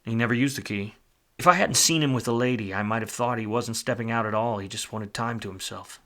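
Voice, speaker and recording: An actor playing a weary, wisecracking 1940s private detective in a film noir radio play, with a wry, slangy manner. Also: male voice, medium pitch, brisk, bright, forceful tone, natural voice. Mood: wry, self-mocking. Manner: wry, hard-boiled, slangy.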